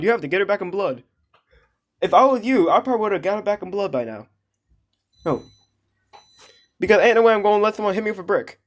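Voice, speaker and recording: Male reaction host, casual and joking, slangy delivery with frequent exclamations. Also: sits high.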